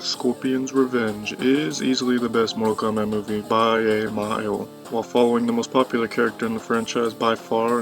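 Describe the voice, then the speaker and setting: A man's voice: lowish voice, rough recording. A young man giving a casual review voice-over in a blunt, slangy, profane manner. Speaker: YouTube movie reviewer. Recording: music bed behind voice. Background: background music.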